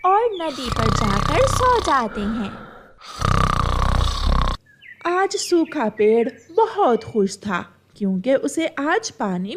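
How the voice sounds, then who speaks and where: A woman's voice: high voice, noisy background. A woman narrating a scripted children's story as storyteller.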